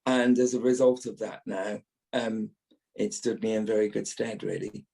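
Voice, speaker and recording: A man's voice: on the low side, little pitch movement, moderate pace, creaky, warm tone. A British man in his early fifties with a trained actor's voice, reminiscing as a guest in a podcast interview.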